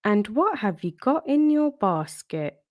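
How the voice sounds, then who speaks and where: A woman's voice: medium pitch, very animated, takes it slowly, no echo, highs cut off. A woman voicing a cunning, wicked male wolf from a storybook, politely coaxing and sly. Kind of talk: storybook read-aloud character.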